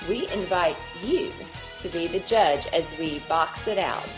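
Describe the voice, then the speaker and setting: A woman co-hosting an education podcast, opening the show and reading out a guest's introduction in a measured way. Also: lowish voice, music in the background. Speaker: podcast co-host, English education professor.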